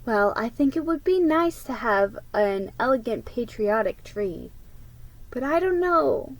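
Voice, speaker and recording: Woman acting storybook mother, gentle, cheerful, conciliatory, higher voice, lively intonation. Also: a little slow, low-quality recording. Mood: thoughtful, conciliatory.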